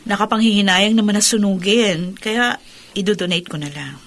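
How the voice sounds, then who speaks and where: A woman's voice: mid-range voice, moderate pace, a bit bright, lightly acted. An actress plays a middle-aged Filipino widow and mother in a radio drama. Her mid-range voice is composed and polite, with a stoic, dutiful restraint. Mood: subdued, reflective.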